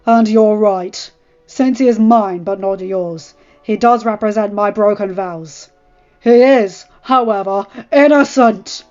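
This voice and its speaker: A woman voicing a stern, furious middle-aged lord who speaks in cold, clipped lines and rises to shouts, in a dull lo-fi recording with faint music. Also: medium pitch, takes it slowly, close to an everyday voice.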